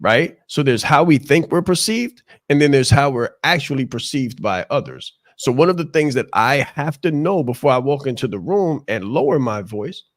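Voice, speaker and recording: A man with a naturally loud, projecting voice, coaching on a video call with passion and emphatic repetition. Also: medium pitch, lively intonation, normal speed, hardly any pauses, no room sound, clean studio sound. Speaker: leadership coach. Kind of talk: motivational talk on a live show.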